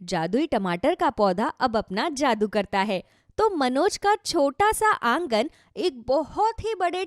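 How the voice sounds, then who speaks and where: A woman narrates a children's moral tale from a script. Her delivery is animated and melodic, with a fairly high voice and a dry, close sound. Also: no echo.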